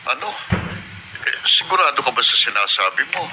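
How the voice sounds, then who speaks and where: A man's voice: mid-range voice, sing-song, brisk, close to an everyday voice. An actor playing a kindly, concerned older country man in a Filipino radio drama, earnest and questioning. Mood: surprised, concerned. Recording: radio broadcast with faint noise.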